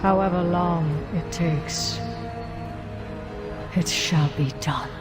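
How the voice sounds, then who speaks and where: A resigned undead elven woman facing penance in a fantasy game. She speaks extremely slowly and gravely, with ominous music clearly behind her. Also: fairly low pitch. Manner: grave, deliberate. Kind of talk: dramatic video game cinematic acting. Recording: game cinematic with scary music.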